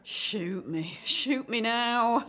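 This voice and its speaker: A woman's voice: fairly high pitch, lively intonation, drawn-out words, a bit bright, heavily acted. A woman playing a chatty, nervous, self-deprecating dater in her thirties in a radio play, awkward and eager in manner. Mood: exasperated. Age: 30s. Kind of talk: radio play acting, conversational.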